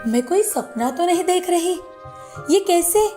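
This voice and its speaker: A woman storyteller voicing a humble, kind, homeless young mother in a gentle, earnest way for a children's moral story. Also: very high voice, lively intonation, unhurried, faint music behind, pitched above the performer's own voice. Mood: amazed.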